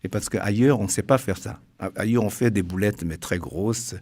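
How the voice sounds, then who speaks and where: A man from China, speaking French with a Chinese accent, a guest author in a radio interview who talks haltingly with many restarts. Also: lowish voice, darkish tone.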